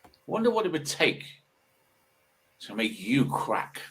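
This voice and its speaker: A man in his sixties talks in a medium-pitched voice. His monologue is casual and rambling, moving haltingly through musings and rhetorical questions. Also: big pitch swings, a bit bright. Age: 60s. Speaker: video monologue host.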